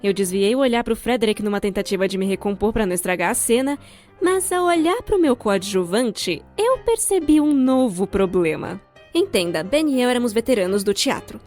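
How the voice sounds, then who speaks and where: A woman's voice: mid-range voice, animated, fairly quick, darkish tone, faint music behind. A young adult woman with a Brazilian accent giving scripted, comedic storytime narration in a theatrical, wry way. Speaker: storyteller, fan-dub voice actor.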